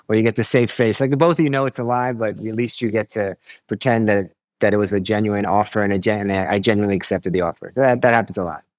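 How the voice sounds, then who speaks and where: American guy on a podcast, lowish voice, easygoing, stumbles and repeats words, dry sound. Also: fast, no echo. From United States. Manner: relaxed, repeats words while thinking. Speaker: podcast guest. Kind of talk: casual interview chat.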